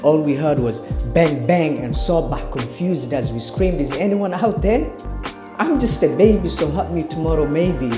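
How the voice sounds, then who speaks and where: A man's voice: fairly high pitch, lively intonation, moderate pace. A man performing rhythmic, declamatory spoken word poetry. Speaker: spoken word poet and show host. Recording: loud music behind.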